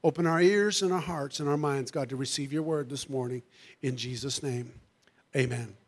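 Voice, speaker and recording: A man's voice: medium pitch, normal speed, husky. A man preaching a fervent sermon to his congregation while losing his voice, calling out "amen" and "hallelujah" after nearly every phrase. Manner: calls out to the congregation. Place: church sanctuary.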